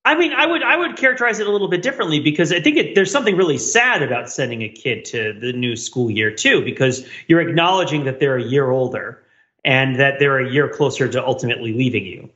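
A man hosting a casual panel podcast, rambling in an animated way and repeating phrases as he thinks aloud. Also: medium pitch, fast, lo-fi sound. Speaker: podcast host.

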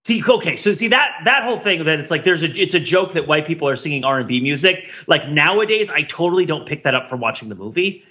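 A man's voice: a little high, expressive, fast, hardly any pauses, pushed and bright, highs cut off. A man in his forties chatting casually as a podcast co-host. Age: 40s. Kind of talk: casual chat.

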